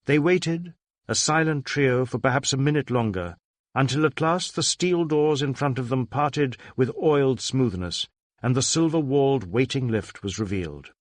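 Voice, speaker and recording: A man's voice: mid-range voice, moderate pace, no echo, clean studio sound. A man reading a literary crime story as a scripted narration. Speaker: audiobook narrator.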